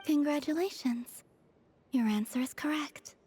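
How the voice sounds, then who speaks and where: A woman playing a serene, gentle young moon maiden in an anime game, speaking softly and breathily in an unhurried, formal, dreamy way. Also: sits high, faint music behind. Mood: gentle, composed. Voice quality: soft, breathy. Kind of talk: anime game character dialogue.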